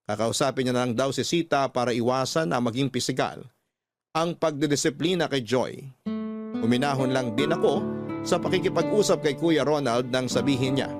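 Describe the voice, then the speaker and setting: An actor playing a hurt, protective relative who tells a family story in a measured, earnest radio drama narration. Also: male voice, mid-range voice, over music, natural voice. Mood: hurt, restrained anger.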